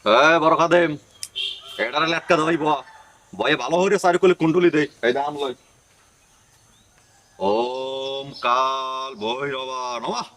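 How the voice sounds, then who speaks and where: Actor playing a bossy, sharp-voiced village exorcist guru. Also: male voice, medium pitch, fairly even intonation, unhurried, pauses often, close to an everyday voice. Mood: commanding, then chanting. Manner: scolding, brusque, commanding. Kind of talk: hobby comedy horror drama acting.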